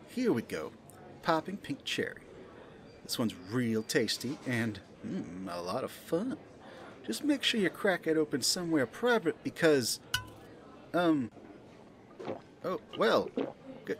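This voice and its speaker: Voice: medium pitch, wildly swooping pitch, unhurried, pauses often. An amateur actor voicing a flirty, motherly pink balloon elephant who serves at a soda bar, with a folksy, coaxing manner in an audio play. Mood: upbeat, then flustered, reassuring. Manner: folksy, coaxing, calls listener sweetie and dear. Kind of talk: amateur audio play, second-person roleplay monologue.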